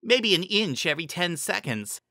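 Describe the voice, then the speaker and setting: A self-important young female unicorn from a fantasy story, as a narrator puts her on. She is wry and exasperated, with a bright, lively voice. The sound is close and dry. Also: mid-range voice, moderate pace, no room sound, pitched above the performer's own voice. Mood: observing. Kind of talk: dramatic fan fiction reading.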